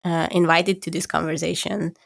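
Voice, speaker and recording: Hungarian accent woman, deep and kinda gravelly, podcast from home, thinks out loud and restarts a lot. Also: little pitch movement, moderate pace. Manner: thoughtful, searching for words with restarts. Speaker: podcast co-host. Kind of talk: casual conversation.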